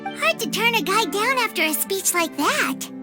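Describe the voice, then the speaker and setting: A woman voicing a tiny, cheerful, childlike fairy companion in a video game, with a squeaky voice and a perky, blunt way of talking. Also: big pitch swings, moderate pace, music in the background. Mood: matter-of-fact. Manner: exclaiming, refers to self in third person. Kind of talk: animated video game acting.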